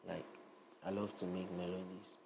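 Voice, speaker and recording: A young Ghanaian man, an Afrobeats artist, speaking as a guest in a casual interview. He sounds relaxed, grows animated and repeats himself as he goes. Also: sits low, moderate pace, creaky, background noise.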